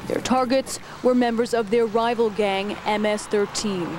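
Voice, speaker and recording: A woman with a fairly high voice narrates a scripted TV news report. Faint noise sits under the old broadcast recording. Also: steady tone, measured pace.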